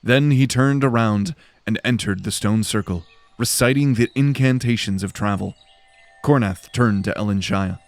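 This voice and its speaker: Low-voiced male fantasy narrator. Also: moderate pace. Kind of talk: dramatic scripted fantasy narration.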